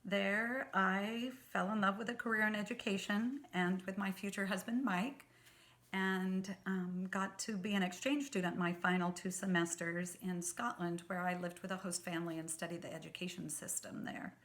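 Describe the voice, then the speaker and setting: A woman's voice: medium pitch, fairly even intonation, a bit bright. Earnest retired teacher in her sixties. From Oregon, US. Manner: earnest, measured, with fillers. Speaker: school board candidate. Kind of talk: campaign statement to camera.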